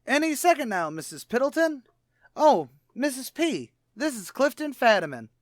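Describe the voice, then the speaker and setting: A brash tavern manager from an old radio comedy, played by a man, wisecracking in cocky, streetwise, ungrammatical talk. The voice sits high and swings widely in pitch, in a dry, close recording. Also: very animated, normal speed, no room sound. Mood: showy. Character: brash, fast-talking, malapropping male tavern manager.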